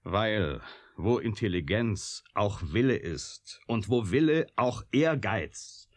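A man's voice: lowish voice, animated, slow pace. An actor in a German radio drama playing an agitated, bitter male scientist who argues in probing questions. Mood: urgent, questioning. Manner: impassioned, probing rhetorical questions.

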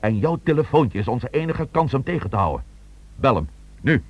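An actor playing a commanding, determined police chief with a terse, authoritative manner in a Dutch radio play. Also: male voice, mid-range voice, sing-song, normal speed, husky, dry recording. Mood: insistent, commanding.

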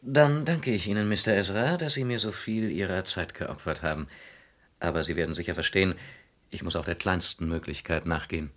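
An actor playing a polite, persistent insurance investigator in a German radio crime play, questioning courteously and deliberately. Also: male voice, sits low, fairly bright tone. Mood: courteous, probing.

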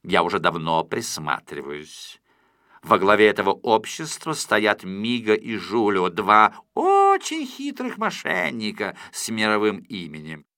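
A wary, subservient manager acted by a male narrator in a storybook play. He mutters deep and low, cautious in every reply. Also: huge pitch swings, unhurried, pushed and bright, no echo, studio quality, full character voice. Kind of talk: audiobook storybook character acting.

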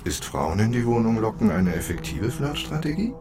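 A probing, sceptical questioner in an audio drama. The voice is low but lively in pitch, dry and pointed, with music behind. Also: male voice, normal speed.

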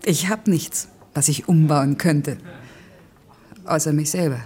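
A woman's voice: sits low, slow pace, darkish tone. An actress playing a stubborn, self-doubting working woman in a naturalistic radio play, frank and wry in manner. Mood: pensive, frank.

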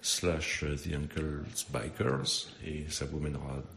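A male rock musician guesting on a radio show, speaking hesitantly, repeating words and filling pauses with "euh". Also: very deep voice, little pitch movement, creaky, fairly bright tone. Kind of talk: casual radio interview.

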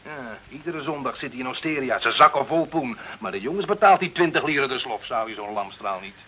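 A man's voice: medium pitch, lively intonation, fairly quick, pushed and bright. A man acting as a cynical, grumbling poor bricklayer in a radio play, sardonic and colloquial. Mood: cynical, scornful. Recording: dull old radio recording, faint hiss.

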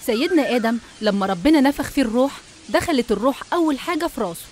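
A woman's voice: sits high, lively intonation, racing, a little mellow, a little hiss or hum. A woman with an Egyptian Arabic accent telling religious stories in a conversational, scripted style.

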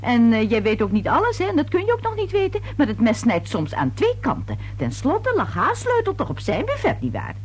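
An actress playing a nosy, self-important middle-aged neighbour in a Dutch radio play, chatty, confiding and full of insinuation. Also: female voice, a little high, huge pitch swings, a little fast, few pauses, faint music behind. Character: gossipy, twice-married older neighbour woman, nosy and self-important.

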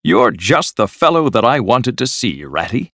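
A man voicing a boastful, excitable, rich toad from a storybook, gushing and exclaiming as he talks on. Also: medium pitch, normal speed, no room sound, voice pushed up. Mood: excited, pleading. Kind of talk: storybook character voice.

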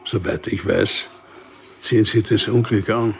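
An actor playing an unremarkable, quietly dangerous civil servant in a radio crime drama, speaking methodically with weighty pauses. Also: male voice, on the low side, fairly even intonation, vocal fry, faint music behind. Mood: dry, methodical. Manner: deliberate, enumerating, with weighty pauses.

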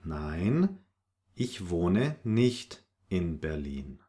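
A man's voice: sits low, big pitch swings, extremely slow, mellow. A man teaching a language lesson from a script, reading short example sentences and their translations in an instructional way.

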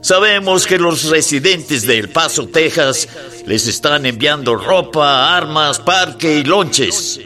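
A man's voice: fairly high pitch, lively intonation, slow pace, bright, forceful tone, soft background music, exaggerated voice. A man playing a taunting army colonel with a Mexican accent, declaiming mockingly in a radio drama. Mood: loud taunting. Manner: mocking, oratorical.

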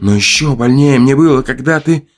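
A man voicing a bitter, menacing, jilted woman who taunts and reproaches slowly in a dramatic audio story. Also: medium pitch, faint music behind, voice pushed up, lightly acted. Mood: wounded, menacing.

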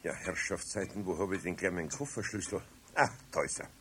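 An older man with a Bavarian accent, playing a good-natured master carpenter in a radio play, easygoing and gently grumbling. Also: deep voice, animated, fairly quick, a little hiss or hum. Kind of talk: 1970s radio play acting.

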